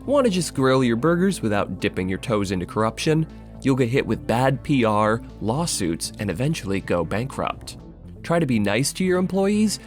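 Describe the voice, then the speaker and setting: A man's voice: medium pitch, very animated, moderate pace. A man narrating a scripted video essay in an emphatic, dramatic way. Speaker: video essayist and channel host. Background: music.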